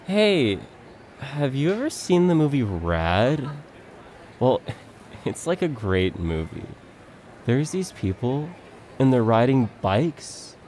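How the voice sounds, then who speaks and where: A slacker dude played by a male actor rambles earnestly through a theatrical comic monologue. His slangy speech drags with wondering pauses, then swings up and down with excitement. A faint noise sits behind his voice. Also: medium pitch. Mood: enthusiastic. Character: excitable laid-back slacker dude, earnest movie buff.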